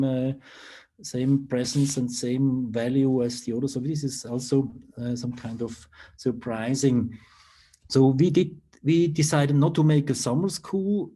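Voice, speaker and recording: A man's voice: mid-range voice, mellow, slight background noise. A man with a Swiss accent giving an online conference presentation over a video call, hesitant and full of fillers and restarts. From Switzerland. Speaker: university lecturer.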